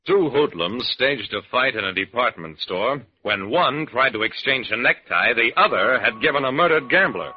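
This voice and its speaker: Tough wry male detective, mid-range voice, deadpan narration, old radio crime show, muffled recording. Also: mid-range voice, normal speed, hardly any pauses, husky. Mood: deadpan narrating. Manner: deadpan, wisecracking. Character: hard-boiled male detective, wry and commanding.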